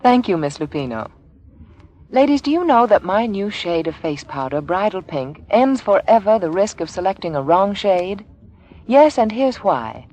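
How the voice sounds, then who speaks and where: Lively American woman selling on 1940s radio. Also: medium pitch, normal speed. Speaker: beauty spokeswoman. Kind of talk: 1940s radio commercial read.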